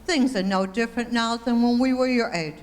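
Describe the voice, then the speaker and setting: Woman as brisk sitcom mom, wry, high voice, radio comedy, echoey hall. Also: lightly acted. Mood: wry, reasoning. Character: sensible, wry 1950s sitcom mother. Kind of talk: old-time radio comedy acting. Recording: reverberant hall, faint noise.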